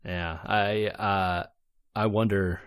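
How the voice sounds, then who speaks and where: A man's voice: on the low side, little pitch movement, slow pace, clear, resonant tone, no room sound, studio quality. A man co-hosting a podcast in casual sports chat, talking in a loose, hesitant way. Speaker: podcast co-host.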